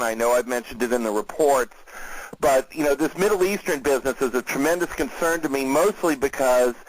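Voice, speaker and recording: Guy running a weekly market call, mid pitch, talks steady w/ lots of ums, dry close sound. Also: dry recording, low-quality recording. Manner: conversational, steady, with fillers. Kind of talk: casual market briefing.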